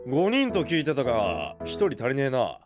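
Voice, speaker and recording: A rough-spoken young gang boss in an anime audio drama. He is brash and hearty, quick to bristle, his pitch leaping widely over background music. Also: wildly swooping pitch. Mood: hearty welcome. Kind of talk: Japanese anime voice drama acting. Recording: music behind the voice.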